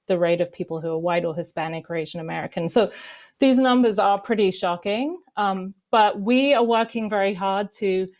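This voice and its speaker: A woman in her thirties, a medical researcher on a panel, explaining in a measured way with frequent "kind of" fillers. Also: mid-range voice, darkish tone. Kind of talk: panel discussion answers.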